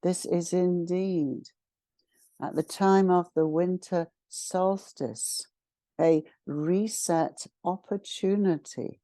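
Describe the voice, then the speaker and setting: Female meditation guide: extremely slow, solemn and ceremonial, soft-edged mellow tone, long pauses between phrases. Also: on the low side, dry recording. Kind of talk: guided meditation, spiritual invocation and channelled message.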